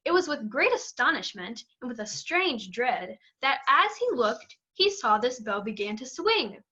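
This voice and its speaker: Young female storyteller: bright, expressive, dramatic scripted narration, slightly muffled recording. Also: a little high, a little slow.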